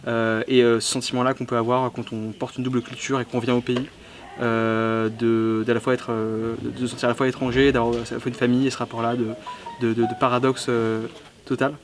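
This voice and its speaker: A young man from the south of France, a film director, answering interview questions at a film festival, halting with many fillers and restarts. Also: mid-range voice, little pitch movement, soft background music.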